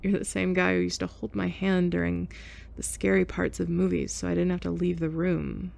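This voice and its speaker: A young woman in a scripted roleplay monologue, abrasive and sarcastic, with awkward soft laughs and sighs. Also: on the low side, little pitch movement, moderate pace, gravelly. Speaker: ASMR roleplay performer.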